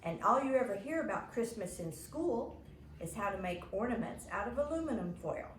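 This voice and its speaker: A woman's voice: a little high, animated, normal speed, voice pushed up, lightly acted. Woman as prissy, grumbling know-it-all girl, echoey. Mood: indignant, disdainful. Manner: indignant, complaining. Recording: echoey room with faint background noise.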